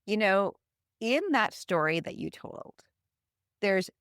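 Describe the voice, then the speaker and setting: A woman from Canada hosting a conversational podcast, phrasing her thoughts in a thoughtful, measured way. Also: medium pitch, expressive, a bit bright, smooth, no echo, studio quality. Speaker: podcast host.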